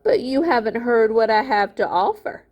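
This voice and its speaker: A woman's voice: a little high, lively intonation, moderate pace, lo-fi sound, voice pushed up, close to an everyday voice. A woman voicing a greedy, fat older miller in a storybook, a smug villain with a falsely genteel, gloating manner. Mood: sly, menacing.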